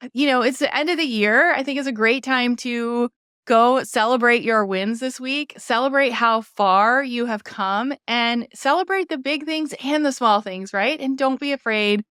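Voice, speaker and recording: A woman's voice: on the high side, a bit bright, smooth, dry recording, clean studio sound. A woman in her thirties hosting a solo podcast, talking casually and encouragingly, often saying "like" and "right?" and asking her listeners questions. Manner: conversational, frequent "like" and "right?". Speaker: podcast host. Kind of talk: reflective solo podcast monologue.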